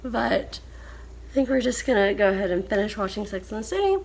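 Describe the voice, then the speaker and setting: A young woman vlogging casually, hoarse and coughing, weary and frustrated. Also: mid-range voice, a little hiss or hum, poor audio. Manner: tired, frustrated, drawn-out speech. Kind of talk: casual vlog chat.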